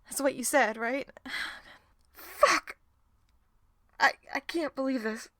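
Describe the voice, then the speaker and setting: A woman acts out a guilt-ridden young woman in an audio roleplay. She speaks slowly and haltingly in a dry, close recording, full of feeling and ready to swear. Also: sits high, pushed and bright, dry recording, full character voice. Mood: anguished, distraught. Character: distressed, guilt-ridden young woman, foul-mouthed, demanding honesty. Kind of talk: audio roleplay drama acting.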